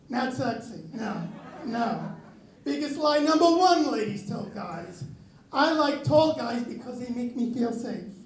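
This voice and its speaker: A young man doing a self-mocking stand-up set with a very raspy, high voice, on a club stage. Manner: self-mocking deadpan delivery. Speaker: stand-up comedian. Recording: echoey club with crowd. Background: audience laughter.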